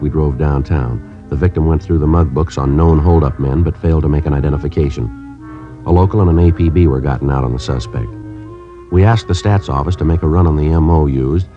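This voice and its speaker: An actor playing a terse, methodical police sergeant in a 1950s radio crime drama, with clipped, flat questioning and narration. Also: male voice, extremely low pitch, a little fast, faint music behind. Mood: narrating. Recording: dull old radio transcription.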